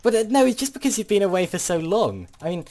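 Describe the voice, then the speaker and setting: A man's voice: sits high, animated, quick pace, a little mellow. A teenage boy, commenting on his gameplay with a lot of hesitation and polite, old-fashioned turns of phrase. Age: teens. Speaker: gaming channel host.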